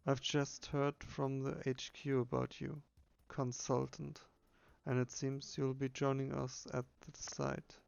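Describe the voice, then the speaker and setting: Man reading as stern gravelly squad captain, muffled audio. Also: mid-range voice, level tone, takes it slowly, darkish tone. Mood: stern, assertive.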